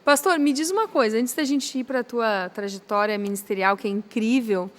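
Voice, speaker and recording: A woman with a gaúcho accent from southern Brazil, hosting a podcast interview in a lively, chatty way. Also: fairly high pitch, normal speed. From Rio Grande do Sul, Brazil. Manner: lively, chatty, warmly admiring of the guest. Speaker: podcast host.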